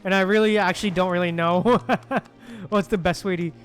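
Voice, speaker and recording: A man's voice: high-pitched, steady tone, moderate pace, soft background music. A man giving casual gameplay commentary as a streamer, murmuring to himself and often chuckling. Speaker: streamer playing a game.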